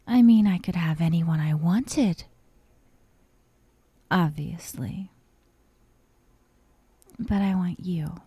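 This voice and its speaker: A breathy, slow-talking young yandere woman, acted by a female ASMR performer, possessive and dominant. She speaks softly and rather low, often in a whisper, teasing and threatening with the same sweetness. The sound is close and dry. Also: dry recording. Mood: possessive, adoring. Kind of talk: ASMR roleplay, intimate monologue to the listener. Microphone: close, dry microphone.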